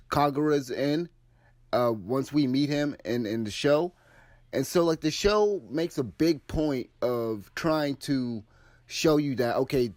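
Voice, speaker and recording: A man with a scratchy, cold-roughened throat talks through his opinions in a loose, rambling way, often circling back and restarting his sentences. His voice sits at an ordinary middle pitch. The sound is close and dry, with no room around it. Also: a little mellow, no echo. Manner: rambling, many restarts and fillers. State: hoarse with a cold and sore throat. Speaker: anime commentary host. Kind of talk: casual video commentary.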